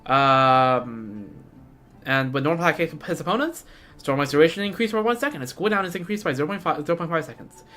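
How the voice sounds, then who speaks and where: A man's voice: a little high, lively intonation, brisk, sharp tone. A young man giving casual live stream commentary on a game, rambling and thinking aloud. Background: game music.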